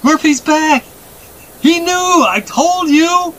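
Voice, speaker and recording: Man voicing an earnest, high-pitched pleading young boy. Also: sing-song, normal speed, a little hiss or hum. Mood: excited, urgent. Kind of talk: dramatic audiobook character reading.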